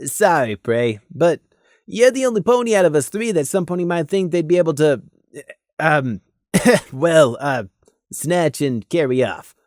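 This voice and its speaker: A gruff yet caring stallion from a storybook, played by a narrator. The delivery is candid and a bit grumbly, in a middle register that moves about freely. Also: mid-range voice, expressive, measured pace, a little mellow, no room sound, studio quality, close to an everyday voice. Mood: awkward. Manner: frank, grumbling, awkwardly candid. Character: gruff but caring male pony companion.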